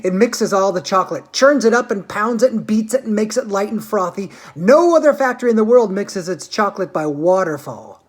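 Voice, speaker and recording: A woman reading the part of an eccentric, excitable chocolate maker in a grand, gushing, theatrical voice. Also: mid-range voice, a little fast, few pauses, natural voice.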